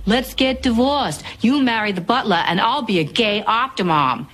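An actress playing a brash sitcom character with a deliberately overdone regional accent. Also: female voice, on the high side, animated, fairly bright tone, a little hiss or hum.

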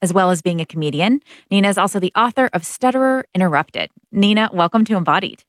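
A woman hosting a radio interview show, reading measured intros and asking questions. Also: mid-range voice, lively intonation, no echo, clean studio sound.

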